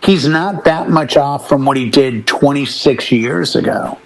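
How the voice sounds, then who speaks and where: A middle-aged man co-hosting a casual music chat, telling stories with deliberate pauses and emphasis. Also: mid-range voice, vocal fry, rough recording. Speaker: talk show co-host.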